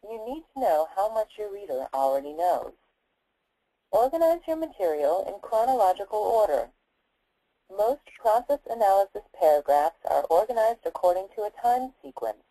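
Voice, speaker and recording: A woman narrating scripted educational material in a measured, formal manner, played back from a video. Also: mid-range voice, very animated, mellow, dry recording, low-quality recording.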